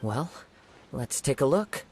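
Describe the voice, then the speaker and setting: A man playing a calm, courteous young samurai in a video game, speaking in a soft, measured, formal way. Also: medium pitch, slight background noise. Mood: pensive.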